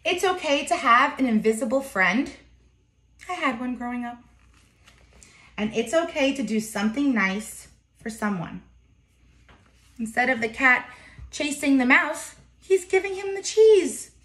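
A woman's voice: high voice, animated, unhurried, long pauses, a bit bright. A woman in her thirties reading a picture book aloud to young children, expressively, with simple explanatory asides. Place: home kitchen, indoors. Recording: roomy, echoey home kitchen.

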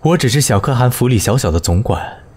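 An actor playing a weary, self-deprecating former general turned household steward, polite, wry and evasive, in an ancient-costume audio drama. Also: male voice, mid-range voice, lively intonation, a little fast, darkish tone, breathy edge. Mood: politely evasive.

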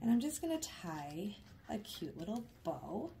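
A woman with a froggy, hoarse voice chats through a craft tutorial while working at a coffee table in her living room. She is self-deprecating and laughs at her own mistakes. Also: mid-range voice, sing-song, measured pace, warm tone, slight background noise. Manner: chatty, self-deprecating, giggly. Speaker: craft vlogger. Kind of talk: casual DIY tutorial narration.

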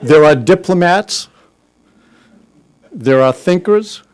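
A man's voice: sits high, lively intonation, slow pace, a little hiss or hum. An older man with a broad Boston accent talks from a podium microphone in a big conference hall. He keeps it loose and joking, stumbling into restarts and fillers as he introduces the next speakers. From Boston area, US. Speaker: board member introducing speakers. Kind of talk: informal podium speech. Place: large conference hall, indoors.

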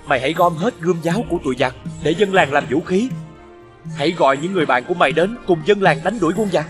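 A man voicing a brave, resolute young village hero in an animated fairy tale, earnest and rallying. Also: on the high side, quick pace, soft background music, lightly acted. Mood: eager.

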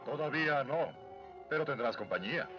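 A man voicing a calm, righteous young warrior hero in a Latin American Spanish cartoon dub, firm and earnest. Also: mid-range voice, moderate pace, lightly acted. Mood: resolute. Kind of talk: 1980s cartoon hero dubbing. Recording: dull old cartoon soundtrack with music.

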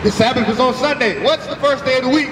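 Street preacher, man, high voice, commanding call-and-response, echoey street with a crowd, caught from far off. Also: fast, heavy background noise. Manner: forceful call-and-response questioning. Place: city sidewalk outdoors.

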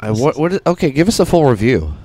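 A man's voice: mid-range voice, very animated, fast, a little mellow, no room sound. A man trading sarcastic, casual podcast banter at a studio microphone. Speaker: podcast co-host. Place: small indoor podcast studio. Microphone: studio microphone with headphones.

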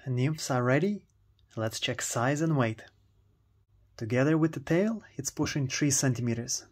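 A man's voice: mid-range voice, measured pace. Man narrating a lure-making tutorial. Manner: upbeat, talks to viewers outdoors. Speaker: fishing channel host. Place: canal towpath outdoors.

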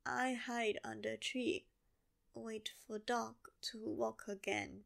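A woman voicing a sleepy, friendly zombie girl who talks in slow, broken, simple words for an ASMR roleplay. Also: fairly high pitch, steady tone, gravelly, full character voice.

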